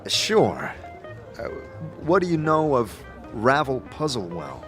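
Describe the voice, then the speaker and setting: A man's voice: mid-range voice, huge pitch swings, takes it slowly, a bit bright, faint music behind, full character voice. A man voicing an awkward, polite wanderer in an audio drama, hesitant and stammering. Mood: awkward, unsure. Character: awkward, hesitant male wanderer new in town.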